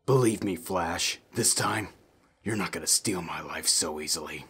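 Casting: a menacing comic-book villain, very raspy growl, low register, slow cold threats. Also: male voice, a bit bright, soft background music, heavily acted. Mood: cold menace.

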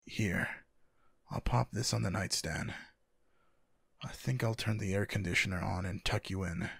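Man acting a husky, low-voiced tender boyfriend. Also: steady tone, dry recording, clean studio sound, heavily acted. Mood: tender, sleepy. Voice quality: husky, gravelly. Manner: soft, affectionate, unhurried. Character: tender, teasing, sleepy male lover. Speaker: ASMR roleplay voice actor. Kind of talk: intimate boyfriend ASMR roleplay. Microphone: close microphone, dry.

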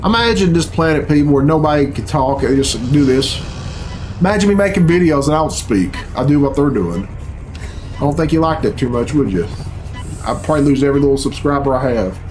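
A man's voice: fairly high pitch, animated, a little fast, a little mellow. Sarcastic man reacting over game music. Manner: sarcastic, bemused grumbling. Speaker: reaction video host. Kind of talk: casual, profane reaction commentary over game cutscenes. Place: small bedroom, indoors. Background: video game cutscene music.

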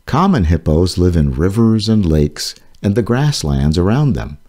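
A man reading his own children's nonfiction book aloud, slowly and carefully. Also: low voice, very animated, warm tone. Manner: slow, careful, clearly paced reading. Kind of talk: read-aloud narration for children.

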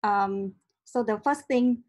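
A woman's voice: a little high, slow, darkish tone. A young woman whose first language is Vietnamese, giving a casual talk on a video call and explaining step by step with many ums. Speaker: meetup speaker. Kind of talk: casual tech-meetup talk with slides. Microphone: video call webcam.

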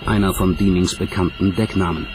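A man's voice: deep voice, fairly even intonation, brisk, noisy background. A man reading scripted narration as the narrator of a documentary.

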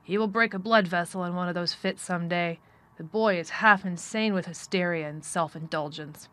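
A woman's voice: on the low side, normal speed, a bit bright, lightly acted. A woman voicing a nervous, irritable male country doctor in a storybook, with a grave, formal manner.

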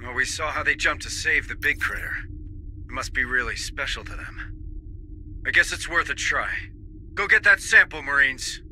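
An actor playing a tough, dry military squad sergeant in a video game, giving clipped, authoritative orders. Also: male voice, a little high, normal speed, bright, forceful tone, a little hiss or hum. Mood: brisk, steady.

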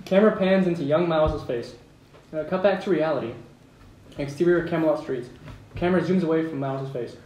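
Higher-voiced man narrating in an echoey room. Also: a little fast, darkish tone. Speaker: narrator reading stage directions. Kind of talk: scripted narration at a table read. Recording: echoey room, distant mic, faint noise.

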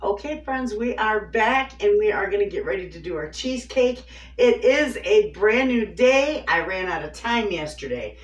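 A woman's voice: medium pitch, animated, moderate pace. A woman in her fifties chatting to viewers in a homey way while cooking in her home kitchen. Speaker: homestead cooking vlogger. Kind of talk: casual cooking chat to camera. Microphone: far camera on a tripod. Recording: echoey kitchen from a distance, faint noise.

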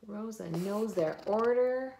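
A middle-aged woman reading a children's picture book aloud in a deliberate storytelling way, recorded on a phone at home. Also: medium pitch, gravelly, dark tone, a little hiss or hum. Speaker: read-aloud host. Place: indoors in a room at home.

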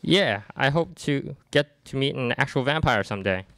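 A man's voice: medium pitch, animated, normal speed, gravelly, a bit bright, no echo. A young man playing a breezy, eager vampire fan in a student radio play, reading casually from a script. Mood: breezy, keen. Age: young adult. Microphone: microphone on boom stand.

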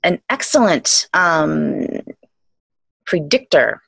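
Chatty woman host, low and dry. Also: very animated, slow pace, creaky, slightly rough, no echo. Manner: conversational, many fillers, laughs easily. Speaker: interview host. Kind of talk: video call interview. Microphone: video call webcam.

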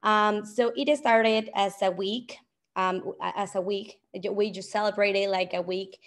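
Woman, mid-pitched voice, presenting over a video call, hesitant with fillers. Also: steady tone, a little slow. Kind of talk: casual presentation.

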